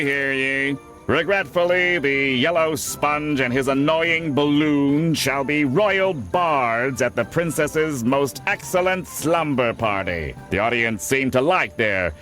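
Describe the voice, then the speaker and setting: A man's voice: medium pitch, a bit bright. A man voicing a pompous, snobbish royal bard in a cartoon video game, drawling disdainfully in mock-archaic speech with a nasal voice.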